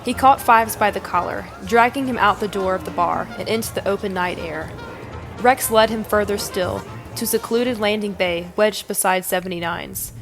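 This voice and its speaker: A woman's voice: mid-range voice, normal speed. Woman narrating dramatic fiction over music. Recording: music bed under the narration.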